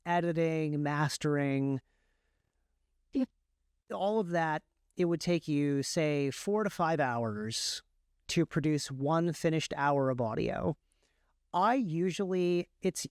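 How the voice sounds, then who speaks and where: Higher-pitched man, clear and dry. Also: no room sound. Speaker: guest, indie author and audiobook narrator. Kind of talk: casual interview talk, explaining a process.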